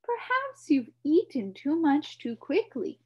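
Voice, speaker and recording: A polite, bewildered young boy speaks earnestly, as a woman reading aloud puts him on. His voice is high and lively, climbing and dipping with each question. He talks at an unhurried pace. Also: muffled recording, heavily acted.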